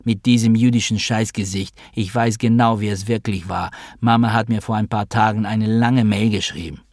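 A man's voice: on the low side, fairly even intonation, moderate pace, no echo, clean studio sound. A man playing a hurt, sarcastic younger brother, grown up and confrontational, in a literary audiobook story. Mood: accusing.

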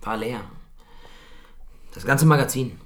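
A man's voice: medium pitch, natural voice. Soldier under interrogation, played by an actor: male, rattled, defensive, terse answers, radio drama. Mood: sullen, reluctant. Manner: terse, halting, evasive.

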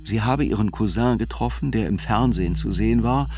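A man's voice: fairly low pitch, fairly quick. A man reading scripted literary narration as the narrator of a radio play. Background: soft music.

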